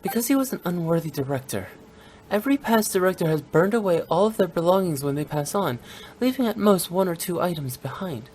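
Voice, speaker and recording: Woman voicing a low-pitched young funeral director girl. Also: animated, brisk, darkish tone, slight background noise, close to an everyday voice. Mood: firm, bitter. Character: young female funeral director, playful yet blunt, unsentimental. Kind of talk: scripted English video game voice acting.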